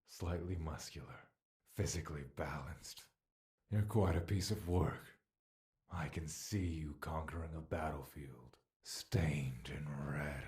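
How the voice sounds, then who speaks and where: Audio drama role: an age-old malevolent spirit, cavernously deep and unhurried, taunting and tempting with theatrical menace. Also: male voice, darkish tone. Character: ancient male spirit trapped within a mortal, scornful, bloodthirsty, tempting.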